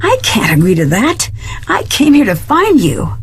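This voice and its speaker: A woman's voice: mid-range voice, wildly swooping pitch, normal speed, slight background noise. An actress voicing an inquisitive, composed young female detective in a video game, asking direct, probing questions. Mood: earnest.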